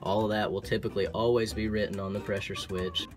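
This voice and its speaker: A man with an Oklahoma accent, an HVAC technician explaining furnace repair in a relaxed, practical way, with frequent ums and restarts. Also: fairly low pitch, fast, music in the background. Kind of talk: instructional how-to explanation. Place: indoor utility closet by a furnace.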